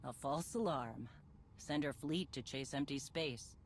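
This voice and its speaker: An actress playing a blunt, commanding female bounty hunter in a video game, impatient and vengeful, with a husky voice. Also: very deep voice, measured pace, slight background noise. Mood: cool, determined. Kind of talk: video game cutscene acting.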